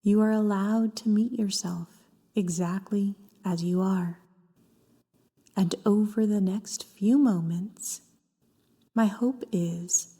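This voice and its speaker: A woman leading a scripted guided meditation in a gentle, soft voice with breathy phrase endings and long pauses between short phrases. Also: medium pitch. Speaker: therapist guiding a meditation. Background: background music.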